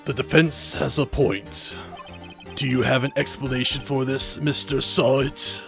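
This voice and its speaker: A man voicing a stern courtroom judge in a video game, speaking in a measured, pompous, formal way. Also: medium pitch, fairly even intonation, vocal fry, faint music behind, voice pushed down.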